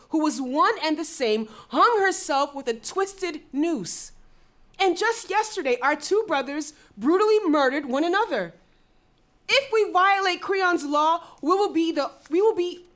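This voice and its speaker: An actress playing a cautious, anguished young princess who pleads emotionally in a Greek tragedy reading. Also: female voice, sits high, a bit bright. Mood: alarmed, grim.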